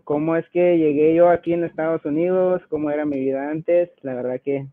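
Young Guatemalan man, slightly high, dry thin sound. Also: steady tone, brisk, no echo, narrow, tinny audio. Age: 20s. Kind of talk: casual personal testimony.

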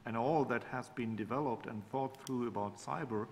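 A man's voice: mid-range voice, moderate pace, a little mellow. Hesitant middle-aged man on a panel. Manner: hesitant, repeating words. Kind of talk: panel discussion. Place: large conference hall. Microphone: table microphone. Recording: reverberant hall, faint room noise.

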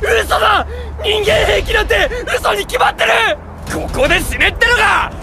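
A man's voice: very high voice, animated, moderate pace, gravelly, raised voice, noisy background, heavily acted. A man playing a terrified, rebellious young soldier in an anime game. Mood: panicked. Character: terrified young male soldiers refusing orders. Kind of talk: dramatic anime game acting. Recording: music and crowd clamour behind.

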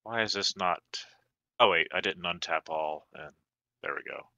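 A man chatting casually and thinking aloud in an unhurried way while playing an online card game. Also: deep voice, pushed and bright, dry recording, lo-fi sound.

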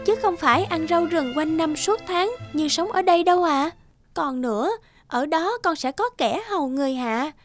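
Naive sweet cartoon daughter, eager, really high girly voice, bit of music. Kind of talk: cartoon dubbing.

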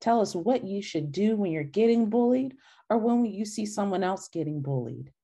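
A woman's voice: medium pitch, lively intonation, moderate pace, dark tone, husky. A young adult woman leading a children's storytime, reading and talking in an encouraging way over a video call from a room at home. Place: small indoor room at home. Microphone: video call, laptop microphone.

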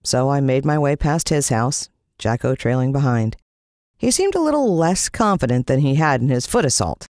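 An American woman narrates a children's adventure story. Her delivery is animated, with a wide swing in pitch. The sound is dry and close. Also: moderate pace, dry recording. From United States. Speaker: audiobook narrator.

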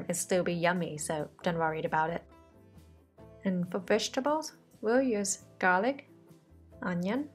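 A woman from Thailand narrates a home cooking lesson in voice-over, explaining each step in a friendly, reassuring way. Her voice sits at an ordinary middle pitch, and soft music plays faintly underneath. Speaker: cooking show host.

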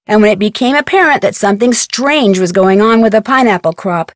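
A woman's voice: mid-range voice, dry recording, clean studio sound, lightly acted. An American woman voicing an upbeat, clever young female detective in a video game, narrating earnestly with wry asides.

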